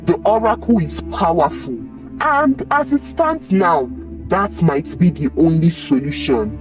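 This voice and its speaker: A narrator as an insistent village man, lively pitch. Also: unhurried, recorded from a distance, music in the background, natural voice. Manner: emphatic, persuasive. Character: firm, insistent male village friend giving advice.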